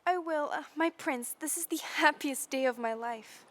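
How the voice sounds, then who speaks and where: A woman playing a gentle, lovesick young mermaid in an audio drama, with an earnest, emotional delivery. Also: high voice, normal speed. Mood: moved, happy.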